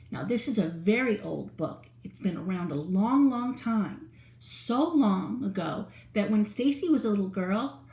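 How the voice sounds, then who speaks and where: A woman's voice: medium pitch, darkish tone, reverberant. A woman reading a picture book aloud to young children in a playful, sing-song way, stopping to ask them questions. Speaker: children's storyteller.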